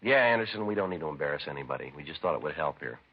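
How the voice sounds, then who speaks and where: Casting: businesslike, terse cop for old radio crime drama, deep voice, matter-of-fact, lo-fi broadcast sound. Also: male voice, very fast, noisy background. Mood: coolly patient. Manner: clipped, deadpan, matter-of-fact. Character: terse, unyielding, businesslike male police detective. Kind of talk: 1950s radio police drama acting. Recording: dull, lo-fi vintage radio recording.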